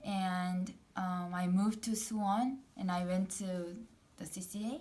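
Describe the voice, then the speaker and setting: A woman's voice: on the low side, fairly even intonation, dark tone. Hesitant Korean-accented young woman. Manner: hesitant, halting, frequent fillers and restarts. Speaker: interviewee. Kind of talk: casual interview answers.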